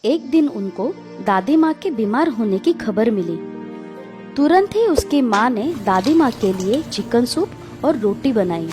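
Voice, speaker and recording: Lady narrating a kids fairy tale, highish voice, very expressive pitch, music behind. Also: a little slow. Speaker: storyteller. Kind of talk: scripted children's story narration.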